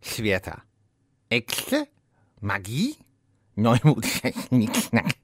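An actor playing a rambling, cackling old undead warlock who boasts about the hard old days, in a comedy audio play. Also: male voice, mid-range voice, wildly swooping pitch, slow, dry recording, studio quality, full character voice. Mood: grumbling nostalgic.

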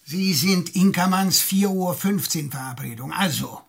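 An actor in a German radio drama playing an impatient, mocking KGB interrogator with a raspy, commanding delivery. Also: male voice, high-pitched, a little slow, heavily acted. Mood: impatient pressure. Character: impatient, scornful KGB interrogator running an informant.

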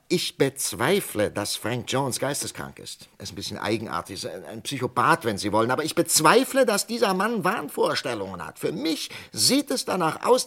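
A man's voice: medium pitch, pushed and bright, full character voice. Urgent, impassioned male psychiatrist. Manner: urgent, halting, insistent. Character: agitated, conscientious male psychiatrist pleading against an execution. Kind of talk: mid-century German radio crime drama acting.

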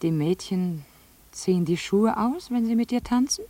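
An actress playing a wealthy, weary woman in a German radio play, speaking in hushed, wistful questions that slip toward whispers. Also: mid-range voice, animated, normal speed, dry recording, lightly acted. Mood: wistful yearning. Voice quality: hushed, breathy, slipping into whispers. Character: wealthy, weary, yearning divorced woman, Mrs. Brown.

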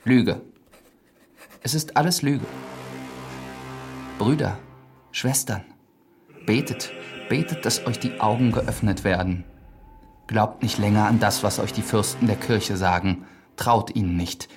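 An actor playing an earnest, defiant priest in a German radio drama, with a solemn rhetorical delivery. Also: male voice, on the low side, a little slow, long pauses. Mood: impassioned, defiant. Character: earnest young priest, defiant preacher of forbidden truth. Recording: studio drama with prominent music behind.

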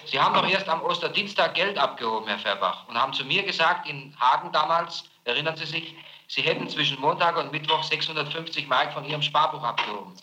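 A man's voice: a little high, lively intonation, fast, bright, forceful tone, echoey room, low-quality recording. A man interrogating a witness, confronting him pointedly with his earlier statements on an archival tape.

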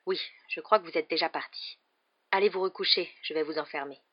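Woman reading as calm kindly old wizard headmaster, measured n amused, audiobook, slightly dull sound. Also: deep voice. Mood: quietly amused. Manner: serene, measured. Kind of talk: acted audiobook dialogue.

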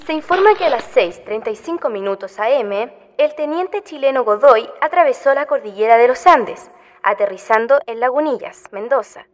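An actress playing a formal announcer reading an official dispatch in a radio drama. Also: female voice, fairly high pitch, expressive, moderate pace, natural voice. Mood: formal, factual. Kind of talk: news bulletin in radio drama. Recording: long reverb, faint noise and clicks.

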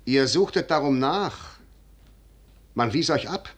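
An actor playing a shrewd, commanding, noble general in a 1950s German radio drama, speaking in measured, deliberate declamation. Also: male voice, mid-range voice, animated, a bit bright, a little hiss or hum. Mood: provoking, commanding.

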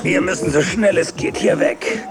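A man's voice: high voice, moderate pace, natural voice. An actor playing a driver talking to his car in a dubbed TV series, informal and emotional. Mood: urgent pleading. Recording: TV clip with loud music.

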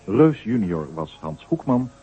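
A man's voice: on the low side, big pitch swings, unhurried, husky. A male radio announcer reading scripted closing credits. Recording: thin, phone-like old radio recording.

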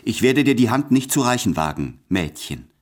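An actor playing a shy, self-loathing lover with halting, deliberate phrasing in an intimate radio drama. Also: male voice, mid-range voice, very animated, close to an everyday voice. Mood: awed, self-loathing. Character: shy, self-loathing male lover addressing his beloved.

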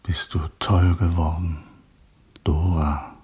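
An actor in a radio play, playing a troubled, protective revolutionary comrade with a terse, admonishing manner. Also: male voice, low voice, level tone, takes it slowly, a little mellow, full character voice. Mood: reproachful. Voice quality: husky.

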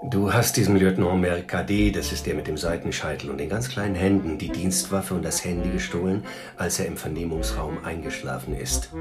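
An actor playing a calm, commanding police commissioner in a radio crime drama, speaking in a terse, dry, authoritative way. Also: male voice, deep voice, a little fast, music in the background, natural voice.

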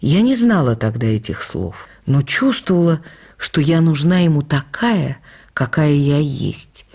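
An actress playing a reflective woman who recalls life with her late husband, reading her memoir in a measured, expressive literary way for a radio production. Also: low voice, husky, exaggerated voice. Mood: reflective, wistful.

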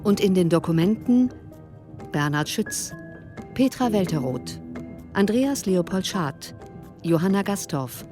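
A woman announcer reading the title and credits of a radio production in a measured way. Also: mid-range voice, lively intonation. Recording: music under the voice.

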